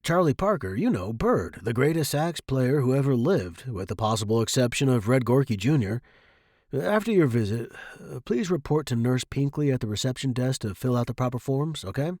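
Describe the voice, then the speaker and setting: A male doctor played by a story reader, chatty and matter-of-fact. The delivery is animated and casual, with a close, dry sound. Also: medium pitch, normal speed, no room sound. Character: chatty male doctor, jazz fan, brisk.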